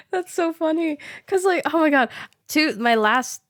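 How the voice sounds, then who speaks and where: A young woman hosting a podcast, chatting casually in a giggly, animated way peppered with "like". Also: high voice, a little slow, no room sound, clean studio sound. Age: young adult. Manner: giggly, animated, talks with frequent "like". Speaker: podcast host. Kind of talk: casual conversational interview chat.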